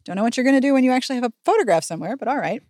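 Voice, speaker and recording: A woman's voice: on the high side, lively intonation, rapid-fire, a little mellow, dry recording, studio quality. A woman hosting a chatty, comic book recap podcast, sarcastic and emphatic in how she tells it. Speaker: podcast host.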